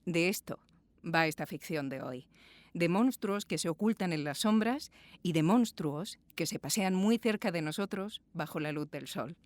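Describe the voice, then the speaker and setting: A woman's voice: fairly low pitch, animated, moderate pace, no room sound. Woman presenting, close dry sound. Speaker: podcast host and interviewer. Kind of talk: warm podcast presenting and interviewing. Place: small sound booth.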